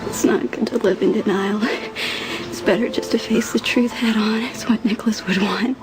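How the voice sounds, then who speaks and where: An actress playing an emotional, grieving young heiress in a soap opera, earnest and halting. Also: female voice, medium pitch, steady tone, natural voice. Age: young adult. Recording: loud music behind dialogue. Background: music.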